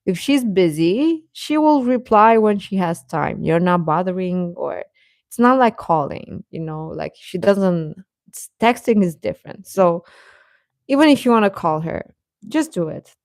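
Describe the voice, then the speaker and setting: A woman's voice: fairly low pitch, lively intonation, a little mellow, no room sound. Casual woman chatting in a dry close space. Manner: informal, halting, often repeats short phrases. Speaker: livestream host chatting with viewers. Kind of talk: casual live chat, answering comments.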